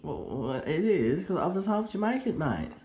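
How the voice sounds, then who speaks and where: Young aussie bloke behind the camera, deadpan smartass, voice jumps all over, echoey room. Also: male voice, a little high, unhurried. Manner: cheeky, deadpan heckling. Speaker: helper and camera operator. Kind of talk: comic banter.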